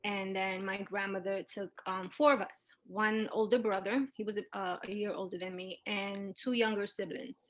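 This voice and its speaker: A woman's voice: mid-range voice, flat delivery, moderate pace, few pauses, lo-fi sound. A middle-aged woman, telling her personal story as an interview guest in a candid, informal way. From Puerto Rico and Brooklyn, New York.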